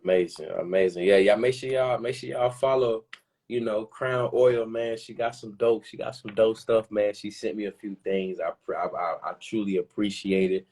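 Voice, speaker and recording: Laid-back male stream host with a low voice and easy slang. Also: normal speed. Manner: relaxed slang, addresses viewers. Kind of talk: casual chat.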